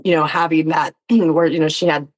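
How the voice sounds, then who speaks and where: A woman's voice: low voice, fairly even intonation, normal speed. A woman speaking as an interview guest in casual, reflective chat, often laughing as she talks. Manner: giggly, laughs often while talking. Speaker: guest, player and actor on a tabletop game show.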